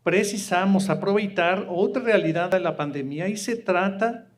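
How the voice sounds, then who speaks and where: A Mexican man whose first language is Spanish, speaking at a panel in halting, accented Portuguese with many fillers, partly reading a prepared statement. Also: high voice. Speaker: panel speaker from an international food agency.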